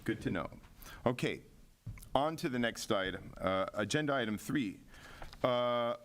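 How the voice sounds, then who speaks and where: A man's voice: medium pitch, expressive, measured pace. A man chairing a city commission meeting, reading agenda items aloud with frequent filler words.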